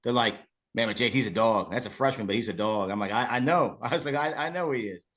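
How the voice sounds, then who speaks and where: A man's voice: mid-range voice, fast talker, a bit bright. A man co-hosting a football podcast, chatting casually and rambling with repeated words and restarts. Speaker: podcast co-host, former PE teacher. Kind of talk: casual interview.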